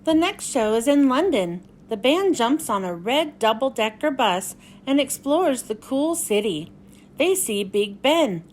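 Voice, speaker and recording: A woman host reading a storybook aloud for children in an expressive way. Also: high-pitched, a little slow. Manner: expressive, clearly addressed to young children.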